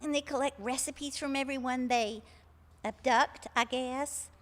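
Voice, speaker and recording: An actress plays a sweet, unfazed older woman phoning a radio show in a comic stage play. Her voice sits high and she rambles in a homespun, gossipy way. The sound rings with the reverb of a hall. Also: fairly even intonation, unhurried. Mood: cheerful, gossipy. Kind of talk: comic live radio theater acting.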